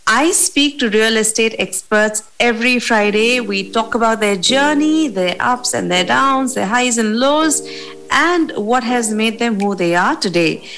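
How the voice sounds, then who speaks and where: A woman's voice: fairly high pitch, fairly bright tone, rough recording. A woman hosting an interview show, opening with an upbeat, scripted-sounding welcome and then asking her guest questions. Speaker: podcast host.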